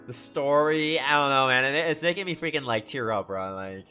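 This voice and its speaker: Young guy streamer reacting to a game, slangy gushing chat, mid pitched, faint music under it. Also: normal speed, bright, forceful tone. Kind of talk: casual chat, live reaction commentary.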